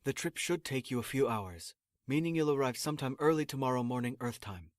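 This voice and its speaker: A man's voice: mid-range voice, animated, fairly quick, no echo, clean studio sound, lightly acted. A man playing an exasperated, officious assistant in a sci-fi comedy audio drama, formal, sarcastic and condescending. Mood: stern, lecturing. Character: exasperated, officious male assistant prepping space recruits.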